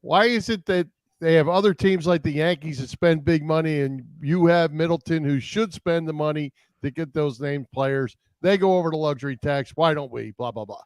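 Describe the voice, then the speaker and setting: A man's voice: on the high side, normal speed, no room sound. A man co-hosting a show in casual chat, passing viewer questions on to the guest. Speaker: podcast co-host reading viewer questions.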